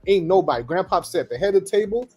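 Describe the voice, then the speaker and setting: A man's voice: high voice, expressive, very fast, a little mellow, husky, faint music behind. A man from Trinidad, co-hosting a casual podcast chat and talking bluntly with jokes and repeated words. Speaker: podcast co-host.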